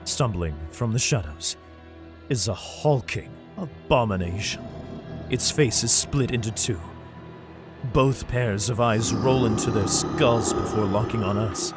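A man's voice: lowish voice, unhurried, over music. A man narrating in the first person as a father, in an urgent, tense storytelling manner, in a fan-made audio drama. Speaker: narrator and lead character, a father.

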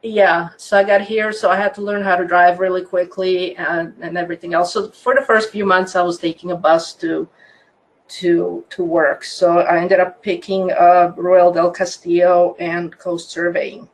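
A woman's voice: lowish voice, little pitch movement, moderate pace, poor audio. A woman in her fifties from Croatia, speaking English with a Croatian accent as an interview guest, telling her story in a chatty way with frequent laughs and restarts. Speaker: guest, land surveyor.

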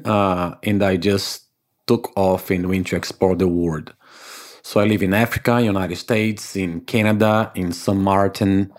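Man, from Brazil, very low voice, English, earnest, explaining, podcast guest. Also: darkish tone. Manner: non-native phrasing, earnest and explanatory. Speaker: podcast guest, breathwork instructor. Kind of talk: conversational interview answers.

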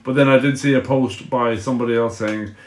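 A man's voice: medium pitch, fairly even intonation, brisk. A man chatting casually with friends, rambling and hesitant as he gives his opinion. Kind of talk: casual banter among friends.

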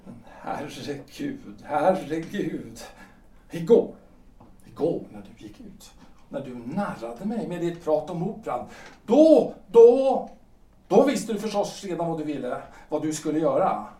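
A man playing an anguished, possessive husband in his forties in a period audio drama, halting and repeating his phrases. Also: on the high side, big pitch swings, dark tone. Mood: agitated, accusing. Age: 40s. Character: anguished middle-aged husband, lawyer and politician, pleading and possessive. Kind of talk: audio drama acting of a period stage play. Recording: roomy, distant microphone.

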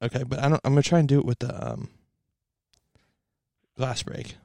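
A man's voice: medium pitch, fairly even intonation, moderate pace, gravelly, dark tone, no echo. A young man hosting a podcast in a laid-back, joking way, swearing freely. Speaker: podcast host. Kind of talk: casual chat.

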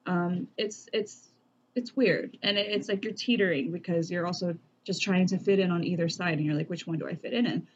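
A young woman from the United States whose first language was Vietnamese, chatting candidly in a relaxed way, with lots of filler words and casual swearing. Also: fairly low pitch, fairly quick, lo-fi sound, a little hiss or hum. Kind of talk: casual group chat.

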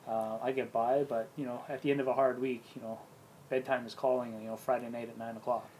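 A man's voice: mid-range voice, flat delivery, fairly quick, darkish tone, a little hiss or hum, rough recording. A man in his late thirties chatting casually with friends, leaning on lots of "you know" and "yeah" fillers. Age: 30s. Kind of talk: casual chat.

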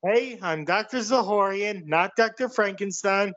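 Man playing a high-voiced, shady glib doctor. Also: measured pace, a bit bright, dull audio. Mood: blunt, unbothered. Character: shady, brazen wrestling doctor pushing vitamin prescriptions. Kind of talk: comedic audio play acting.

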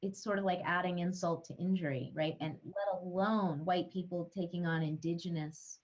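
A woman's voice: fairly low pitch, steady tone, normal speed, muffled recording. A middle-aged woman, a podcast guest, telling her story in an earnest, frank way. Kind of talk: candid interview talk.

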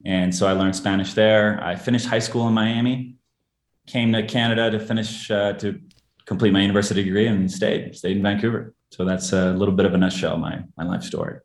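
A man born in Brazil whose first language is Portuguese, talking casually as an interview guest. His voice sits a little low and he tells his story in a relaxed, rambling, self-deprecating way. The sound bounces around a roomy space. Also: fairly quick. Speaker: guest actor.

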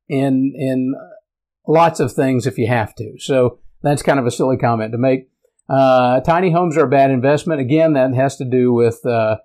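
A middle-aged man talks casually in a raspy voice, working through a list of points one by one. He rambles with frequent ums, uhs and restarts, like a relaxed vlogger thinking out loud. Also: medium pitch. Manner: rambling, many fillers and restarts. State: raspy voice today. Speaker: tiny home vlogger. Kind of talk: casual commentary reacting to a list.

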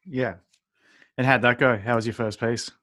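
A man's voice: mid-range voice, steady tone, normal speed, bright, forceful tone, dry recording, clean studio sound. A man hosting a podcast, asking questions in a casual, conversational way. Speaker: podcast host. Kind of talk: casual interview chat.

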